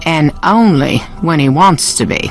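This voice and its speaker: A woman's voice: low-pitched, expressive, a little slow, faint music behind, rough recording. An actress playing a guarded, proud young woman in a video game, with a dry, pointed way of talking. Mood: wary, cutting. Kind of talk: video game character dialogue.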